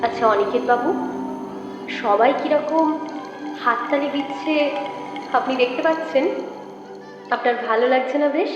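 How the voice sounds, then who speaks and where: A woman's voice: high-pitched, a little slow, pauses often. A woman playing a sarcastic hostess who taunts a writer with false politeness in a horror audio drama. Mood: needling. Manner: sarcastic, feigned politeness, needling rhetorical questions. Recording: heavily reverberant dull hall sound, music behind.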